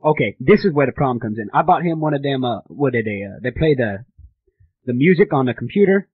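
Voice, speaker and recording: A man's voice: mid-range voice, lively intonation, fairly quick, breathy edge. A man playing an indignant, clueless father on a prank phone call, rambling and stammering as he complains. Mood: puzzled complaining. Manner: rambling, stammering, repetitive, easily offended. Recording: tinny phone line.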